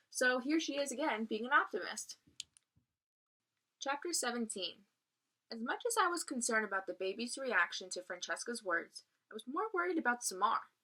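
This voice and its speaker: A woman with a clearly bright voice reads a children's novel aloud at a middling pitch. She narrates the text and slips into casual asides that explain it to young listeners. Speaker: reader of a children's novel read aloud, explaining as a teacher would.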